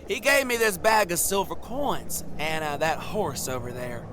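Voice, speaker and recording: Folksy male frontier type man actor, slow, earnest, high-pitched, Western audio drama over clear background noise. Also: natural voice. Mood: matter-of-fact. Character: folksy, protesting half-dead man in a Western. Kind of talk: scripted audio drama acting.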